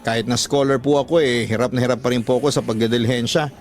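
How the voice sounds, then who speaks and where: A man's voice: medium pitch, steady tone, fast talker, close to an everyday voice. A man with a Filipino accent playing a dutiful, hard-up young student speaking respectfully in a radio drama. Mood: apologetic, downcast. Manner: earnest, respectful. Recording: background music under the voice.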